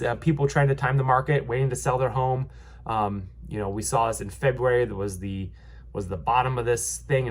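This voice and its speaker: A young man with a stuffy nose from a cold, giving a casual market update as a realtor, rambling with lots of ums and restarts. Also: mid-range voice. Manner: rambling, many ums and restarts, upbeat reassuring. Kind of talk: casual vlog market commentary.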